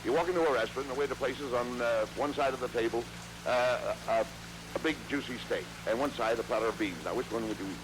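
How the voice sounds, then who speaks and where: A man playing the exasperated straight man in a 1940s radio comedy, trying to calm his partner down. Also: medium pitch, quick pace. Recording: noisy old radio broadcast.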